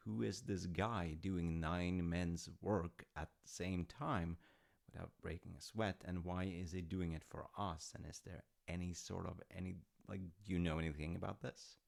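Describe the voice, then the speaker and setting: Man acting as a slow, low-voiced, musing dad. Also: vocal fry. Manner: musing, hesitant, conversational asides. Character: affectionate, proud, rambling dad retelling Norse myths. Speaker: audio roleplay voice actor. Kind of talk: intimate rambling storytelling roleplay.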